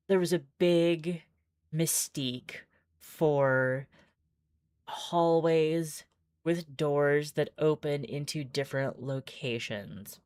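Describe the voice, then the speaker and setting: A woman's voice: sits low, a little mellow, clear, resonant tone, no echo, clean studio sound. A woman streaming a visual novel, moving between casual, rambling commentary and dramatic read-aloud narration.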